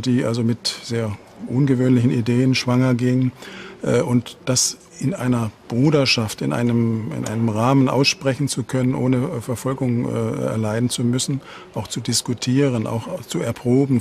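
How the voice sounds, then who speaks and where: A man's voice: mid-range voice, vocal fry, a little mellow, a little hiss or hum. A man answering as an interviewed expert, hesitant and searching for his words, with many fillers.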